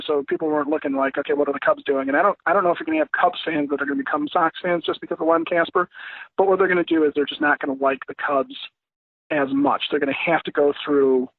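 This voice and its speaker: An American man on a thin phone line, giving his take as a sports podcast guest in a halting, conversational way. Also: on the high side, no room sound. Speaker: podcast guest, former radio program director. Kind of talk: casual sports discussion.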